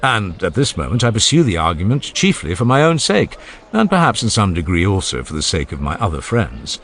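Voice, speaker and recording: A man's voice: low-pitched, huge pitch swings, a little fast, fairly bright tone, exaggerated voice. A man reading the part of an earnest, genial ancient philosopher who questions relentlessly, step by step, in a dramatized audiobook dialogue. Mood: earnest, encouraging. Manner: rhetorical, emphatic, step-by-step questioning.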